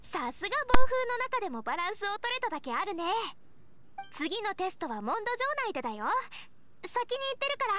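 A woman's voice: very high-pitched, sing-song, brisk, noisy background. A woman playing a cheerful, eager young knight scout in an anime game, girlish, chirpy and earnest. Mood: encouraging. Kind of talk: Japanese anime game voice acting.

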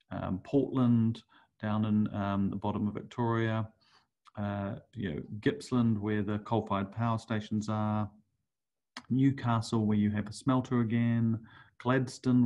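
Middle-aged kiwi guy presenting slides, lowish voice, lots of ums and restarts. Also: darkish tone, highs cut off. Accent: New Zealand. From New Zealand. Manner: hesitant, many fillers and restarts. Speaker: conference speaker. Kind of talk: webinar presentation over slides.

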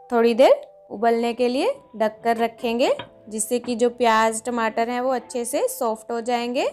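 Higher-pitched woman narrating a cooking demo. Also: a little mellow. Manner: patient, explains each step, often says "dekhiye, lijiye". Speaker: cooking channel host. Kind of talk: step-by-step cooking instruction.

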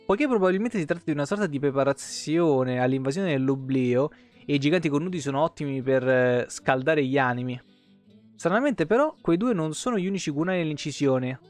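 Higher-voiced man reading over guitar music. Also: brisk. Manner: expressive reading, breaking off for informal comments. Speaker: game streamer reading lore aloud. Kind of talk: reading game codex text aloud with casual asides. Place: small indoor room, streaming setup. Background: plucked guitar music.

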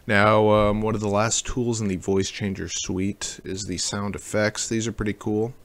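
A man narrating a casual software tutorial in a jokey, slangy way. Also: on the low side, level tone, normal speed, faint music behind. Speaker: tutorial host.

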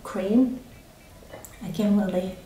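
Slow, chatty woman reviewing food. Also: medium pitch, slight background noise. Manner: relaxed, chatty, with many fillers and restarts. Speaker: food vlogger. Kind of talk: casual food review to camera. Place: small indoor room. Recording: echoey room with faint music.

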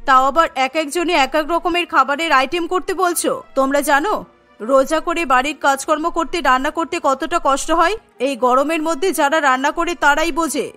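A woman's voice: high-pitched, normal speed, sharp tone. A woman voicing a stern, angry older mother-in-law who scolds indignantly in a cartoon story. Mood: angry, scolding. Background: background music.

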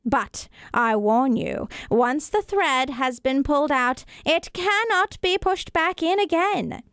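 A woman voicing a mysterious old woman in a storybook, speaking slowly and deliberately with solemn warning. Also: high voice, lively intonation, fairly bright tone, dry recording, clean studio sound, voice pushed up, heavily acted. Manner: slow, drawn-out, deliberate.

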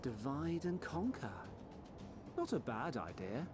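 A man's voice: mid-range voice, big pitch swings, slow, music in the background. A man playing a dry, sceptical fighter in a fantasy game, wry and speaking in clipped phrases.

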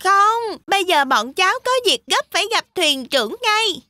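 A woman's voice: very high voice, lively intonation, moderate pace, bright, forceful tone, no echo, clean studio sound, full character voice. A woman voicing a brave, earnest young goat kid in a children's cartoon. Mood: urgent. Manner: earnest, urgent.

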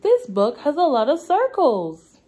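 A woman's voice: high-pitched, huge pitch swings, measured pace, warm tone. A young woman speaking as a preschool teacher, reading aloud to small children in simple, repeated, stretched-out phrases. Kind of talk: children's read-aloud lesson. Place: indoor classroom.

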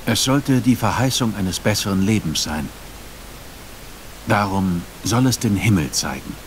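An actor playing an earnest, grieving master builder who speaks sincerely. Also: male voice, fairly low pitch, animated, a little slow. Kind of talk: game voice acting. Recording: noticeable hiss.

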